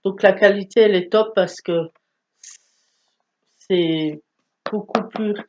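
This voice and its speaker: A woman's voice: mid-range voice, slow pace, muffled recording. A woman with a stuffy nose from a cold, chatting casually about her book haul with many hesitations. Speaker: book vlogger.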